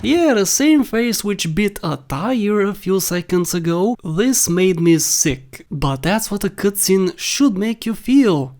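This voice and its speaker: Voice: mid-range voice, darkish tone. A video host giving scripted countdown commentary over game clips, with jokey, wry asides.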